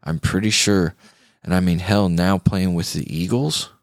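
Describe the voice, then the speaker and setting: A man's voice: low voice, fairly even intonation, measured pace, gravelly, no echo. An American man hosting a podcast, chatting casually about music in a laid-back, slangy way. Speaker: podcast host.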